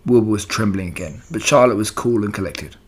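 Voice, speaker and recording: A man narrating a children's book aloud in an expressive storytelling style. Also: on the low side, brisk.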